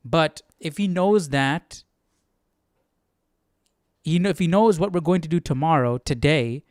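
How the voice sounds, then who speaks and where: A man's voice: on the high side, unhurried, a little mellow, no room sound, studio quality. A man hosting a casual Bible study livestream, thinking aloud and often checking for agreement. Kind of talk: casual commentary.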